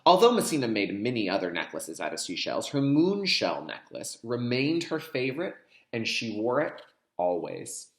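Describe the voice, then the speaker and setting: Male kindergarten teacher, instructional read-aloud style, addresses children directly, animated intonation, mid-range pitch, echoey room. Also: normal speed. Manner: addresses young listeners directly, repeats key terms. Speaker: kindergarten teacher reading a picture book aloud.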